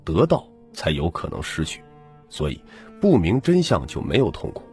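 A man with a low, very rough and husky voice narrates an audiobook in the first person. His delivery is dramatic and lively in intonation, with music playing softly underneath. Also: normal speed. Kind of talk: scripted novel narration. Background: background music.

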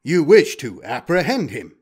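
Man voicing a cold, booming war robot. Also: sing-song, a little slow, darkish tone, breathy edge, heavily acted. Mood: cold, commanding. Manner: clipped machine-like formal statements. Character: armed war robot, cold, calculating, emotionless, blunt.

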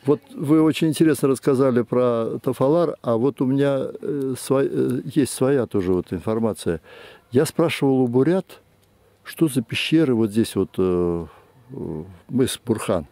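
Male tourist from Kazakhstan, warm relaxed voice, easygoing storytelling. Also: mid-range voice, normal speed, dry recording. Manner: relaxed, with frequent agreeing fillers. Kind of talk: casual questions and story.

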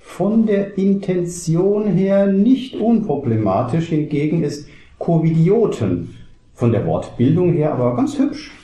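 A man playing a pedantic teacher in a cabaret audio play, speaking in a dry, deliberate deadpan. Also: fairly high pitch, reverberant.

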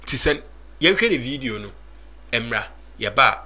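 Slow, bright-voiced male studio host. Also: mid-range voice, big pitch swings. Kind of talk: commentary show in Twi. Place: small studio, indoors. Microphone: desk microphone.

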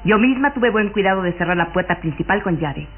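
A woman's voice: mid-range voice, lively intonation, brisk, lightly acted. Woman to play insistent, anxious young lady of the manor for old radio serial, tinny band. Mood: suspicious insistence. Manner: formal, emphatic questioning. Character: beautiful young duke's daughter, anxious and insistent. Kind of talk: vintage radio drama acting. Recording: phone-like vintage radio recording, music behind.

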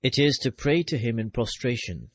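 A man giving the English voice-over of a sermon, reading it formally in measured, phrase-by-phrase delivery. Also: mid-range voice, animated. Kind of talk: formal read translation of a sermon.

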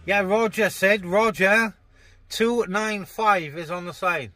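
A man's voice: high voice, slow pace, pushed and bright, slight background noise. A man chatting casually as a CB radio operator, speaking colloquially and repeating phrases, inside a parked car.

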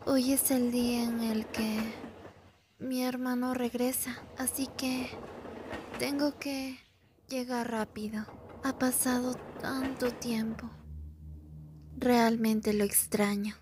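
A woman voicing a timid high-school girl with a halting manner in a horror game fan dub. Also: fairly even intonation, noisy background. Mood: wistful. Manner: hesitant, halting.

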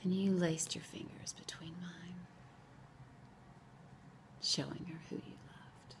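A woman performing a dramatic monologue as a wistful, wounded former lover, hushed and breathy, with long pauses. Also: lowish voice, flat delivery, whispered. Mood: fond remembering. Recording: faint background noise.

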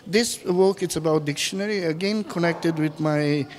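A man in his fifties from former Yugoslavia, speaking as an artist in an on-camera interview in a gallery room, halting and full of fillers, into a handheld mic. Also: a little high. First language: Serbian. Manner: halting, many fillers and restarts. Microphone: handheld mic held close.

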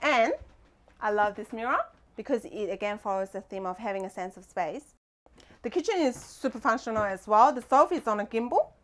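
Young female vlog host, fairly high voice, casual guided-tour delivery, recorded in a compact boat cabin. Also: lively intonation, a little fast, a bit bright, slight background noise. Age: young adult. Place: inside a small sailboat cabin.

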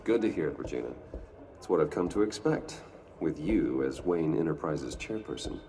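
An actor voicing a reserved, brooding billionaire detective in a video game, with a gravelly voice. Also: male voice, a bass voice, animated, moderate pace, mellow, a little hiss or hum. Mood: polite, guarded. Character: brooding adult billionaire businessman, secretly a vigilante detective. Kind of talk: cinematic video game dialogue.

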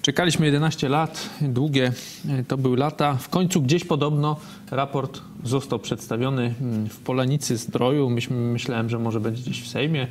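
A man's voice: medium pitch, fairly even intonation, moderate pace, slight background noise. A man in his thirties hosting a political talk show in a TV studio, chatting casually and skeptically. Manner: casual, skeptical, interjects often. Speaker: show host.

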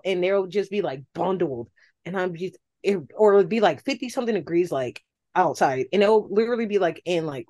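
A woman's voice: lowish voice, darkish tone. An American woman in casual chat as a podcast guest, telling stories and acting out reactions.

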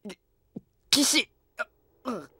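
An excitable young would-be knight. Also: male voice, extremely high pitch, slow, husky, heavily acted. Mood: flustered. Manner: excitable, earnest. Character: earnest, hot-blooded young man training to become a knight. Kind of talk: Japanese audio drama acting.

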